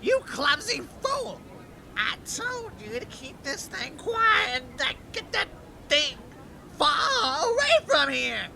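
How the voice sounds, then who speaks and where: An indignant innkeeper acted by a woman in an audio drama, scolding in a sharp, high voice. The delivery swings up and down, with drawn-out words and fretful outrage. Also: big pitch swings, vocal fry, a little hiss or hum, heavily acted. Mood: angry, exasperated. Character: furious, scolding innkeeper fretting over lost business. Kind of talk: fan audio drama acting.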